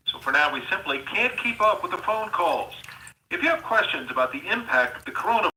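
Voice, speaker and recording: A man's voice: a little high, bright, forceful tone, far from the mic, a little hiss or hum. An American man reading a polished, formal recorded voicemail announcement for a news station, replayed from a phone. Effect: telephone playback. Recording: phone message replayed through speaker, roomy.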